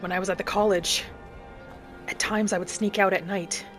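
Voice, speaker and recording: A woman voicing an earnest, vengeful young battle mage in a dramatic, deliberate first-person fantasy roleplay. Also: medium pitch, fairly even intonation, faint music behind, close to an everyday voice. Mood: reflective. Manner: dramatic, deliberate, thinking aloud in character.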